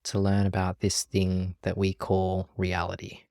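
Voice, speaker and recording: A meditation teacher leading a guided practice in a gentle, calm, measured way with long pauses. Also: low-pitched, gravelly, no room sound, studio quality.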